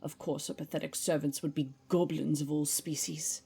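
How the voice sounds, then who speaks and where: A woman's voice: deep voice, steady tone, moderate pace, vocal fry, warm tone, husky, exaggerated voice. A woman playing a zealous, disdainful warrior of a goddess, speaking formally and haughtily in a fantasy audio drama.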